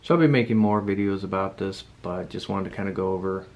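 A man's voice: sits low, fast talker, a little mellow. A man giving a casual, unscripted product demonstration, rambling a little as he thinks aloud. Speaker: product reviewer. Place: indoor room at home.

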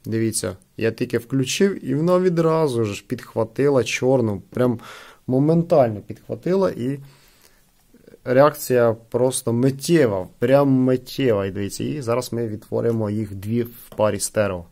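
A man with a creaky voice giving casual unboxing and product testing commentary as a host. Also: mid-range voice, moderate pace, a little mellow. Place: indoors at a wooden table.

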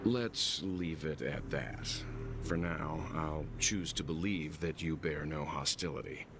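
Stern, very deep-voiced guardian. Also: male voice, noisy background, full character voice. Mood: guarded, firm. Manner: measured, formal, commanding. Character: stern, guarded bespectacled man, protective and threatening. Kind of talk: scripted game dialogue. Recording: game dialogue over background music.

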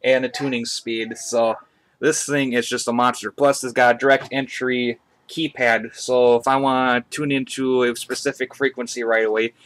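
A man with a mid-pitched voice talks through a hobby gear review in a casual way. His delivery is halting and conversational, picked up by a webcam in a room at home. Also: level tone, fairly bright tone. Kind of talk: casual product review to camera. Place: indoors, small room at home. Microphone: webcam on a tripod, speaker off camera.

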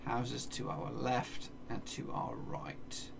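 English streamer, 30s, gravelly fry, mic set back with noise behind, self-muttering casual game commentary. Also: male voice, mid-range voice, animated, slow, recorded from a distance. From England. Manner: mutters place names to himself, swears playfully. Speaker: gaming streamer. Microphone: desk mic, seen in webcam corner.

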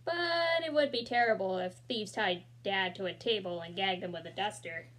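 A young woman reading a children's picture book aloud in a small room, expressive and adding casual asides, recorded on a laptop camera. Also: mid-range voice, normal speed, poor audio.

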